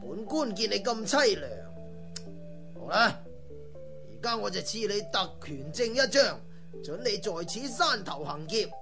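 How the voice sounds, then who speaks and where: Radio comedy: pompous, gullible male imperial official, played by a woman, theatrical, highly animated, music behind. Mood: magnanimous. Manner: grandiose, theatrical, drawn-out. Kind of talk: comic radio drama acting.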